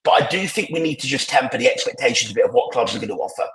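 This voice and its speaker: A croaky, tired-sounding man talks through his opinions in a rambling, restart-heavy way, his voice at an ordinary middle pitch. He speaks into earbuds over a video call, so the sound is thin and echoey. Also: animated, racing, a bit bright. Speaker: podcast panelist. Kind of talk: casual podcast discussion. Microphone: AirPods on a video call. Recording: echoey, poor sound quality.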